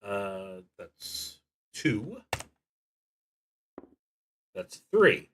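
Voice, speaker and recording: A man streaming a solo tabletop roleplaying game, thinking aloud casually and often pausing mid-sentence. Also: lowish voice, big pitch swings, background noise. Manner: halting, with frequent pauses mid-sentence.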